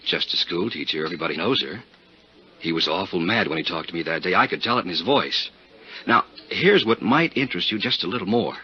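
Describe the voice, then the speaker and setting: An actor playing a flustered, gossipy American insurance broker, earnest and confiding, in a 1950s radio drama. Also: male voice, sits low, lively intonation, fairly quick, breathy edge. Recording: muffled 1950s radio recording.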